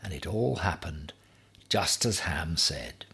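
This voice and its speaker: A man narrating a children's story from a text as an expressive storybook reader. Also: low voice, takes it slowly, vocal fry, slightly rough.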